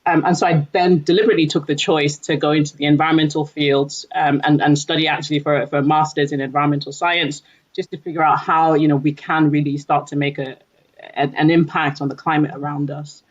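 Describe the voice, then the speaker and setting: A woman's voice: low-pitched, little pitch movement, fairly quick. A woman from Nigeria talking earnestly about her background and career in a podcast conversation, with frequent fillers. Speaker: environmental lead at a company. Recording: dull lo-fi audio.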